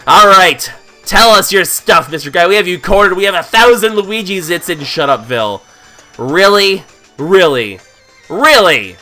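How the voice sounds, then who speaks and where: A man hoarse from a cold talks through a game, his voice nasal and raspy but still bright and keen. His pitch sits a little high and swings widely as he jokes and thinks aloud. Faint game music plays behind him. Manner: playful, jokey, thinks aloud. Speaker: let's play host. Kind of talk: casual gameplay commentary.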